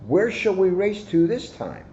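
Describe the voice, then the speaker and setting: A man's voice: sits high, huge pitch swings, unhurried, warm tone, lo-fi sound, slight background noise, voice pushed up, exaggerated voice. A man voicing a greedy, cocky hare from a children's storybook. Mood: eager. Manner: cocky, overconfident.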